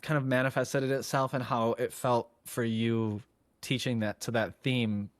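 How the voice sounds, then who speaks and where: The male co-host on a relaxed podcast who tosses out curious questions and affirms the answers, mid-pitched and recorded without room echo. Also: a little slow. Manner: inquisitive, affirming listener. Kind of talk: casual conversational interview chat.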